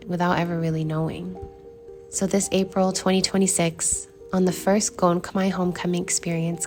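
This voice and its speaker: Young woman host, earnest reflective narrator, lowish voice, soft music behind. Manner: earnest, heartfelt. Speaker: travel vlogger and trip host.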